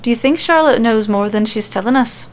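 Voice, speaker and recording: A woman voicing a gruff, eager, hot-tempered female fighter in an audio story, blunt and growling. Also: on the high side, fairly quick, slight background noise, close to an everyday voice. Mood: questioning.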